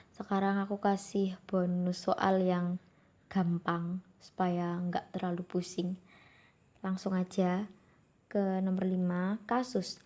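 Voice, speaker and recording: A woman's voice: mid-range voice, darkish tone. Friendly Javanese woman tutoring exam questions. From Java. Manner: friendly, informal, addresses viewers as friends. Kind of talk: explaining exam questions to viewers.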